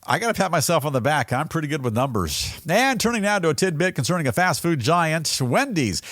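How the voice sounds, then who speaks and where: Animated male American news host. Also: a little high, brisk, no echo, clean studio sound. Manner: folksy, playful, theatrical delivery. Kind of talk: jokey news roundup commentary.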